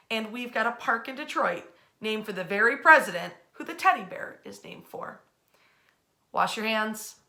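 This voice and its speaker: Woman doing history storytime from home, really bright voice, kinda high, chatty n animated, super informal. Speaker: host of a nightly history storytime. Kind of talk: informal storytelling to an online audience. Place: in bed at home.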